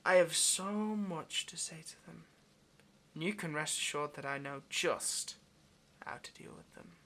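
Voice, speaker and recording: A man's voice: a little high, a little slow, a bit bright. A man playing a lonely, clingy yandere demon in an intimate audio roleplay, speaking tenderly and hesitantly straight to the listener with obsessive devotion. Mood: quietly menacing.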